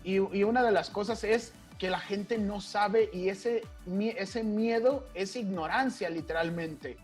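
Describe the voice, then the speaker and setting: A man's voice: high-pitched, measured pace, soft background music. A man hosting an interview, explaining at length before he asks his question. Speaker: host, interviewer.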